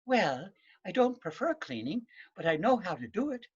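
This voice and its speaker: Man as a soft-spoken young village lass. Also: high-pitched, big pitch swings, moderate pace, breathy edge, highs cut off, full character voice. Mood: kind. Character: pretty young village lass, kind, calm and practical.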